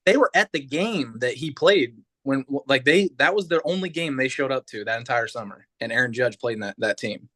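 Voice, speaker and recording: A man's voice: mid-range voice, animated, brisk, few pauses, a bit bright. Mid-30s guy, podcast guest, laid back, mostly says yep and tells stories. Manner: easygoing, short agreeing replies. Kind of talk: casual chat.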